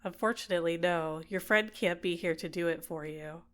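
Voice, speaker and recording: A woman voicing a possessive, manipulative villainess in an intimate ASMR roleplay, soft-spoken and coaxing, teasing and sometimes whispering to a captive. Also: lowish voice, fairly quick, close to an everyday voice. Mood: coolly manipulative.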